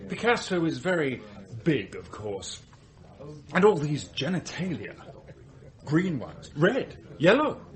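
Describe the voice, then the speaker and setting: An earnest gentleman in a radio play, pitched fairly high. He is defensive and a bit weak, with a lively rise and fall to his speech. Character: earnest, defensive, spineless geologist, a married gentleman. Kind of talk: radio drama acting.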